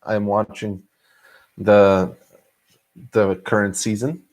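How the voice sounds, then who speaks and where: A young man from Serbia with a Serbian accent, telling stories in a casual solo vlog, restarting often and swinging his pitch up and down. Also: slow pace, rough recording. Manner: animated, frequent restarts and laughs, pitch swinging widely. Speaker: vlogger host. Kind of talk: casual monologue storytelling.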